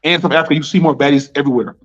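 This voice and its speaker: A man's voice: a little high, very fast. A young American man being interviewed, talking in a casual, slangy way and repeating himself often. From United States. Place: Nairobi. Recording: dull lo-fi sound with faint music.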